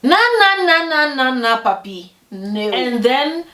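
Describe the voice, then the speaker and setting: A young woman from Ghana telling personal stories to camera in a lively way, acting out what other people said. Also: takes it slowly. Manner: animated, acts out quoted people with big pitch jumps. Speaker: vlogger. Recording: echoey room, voice from a distance.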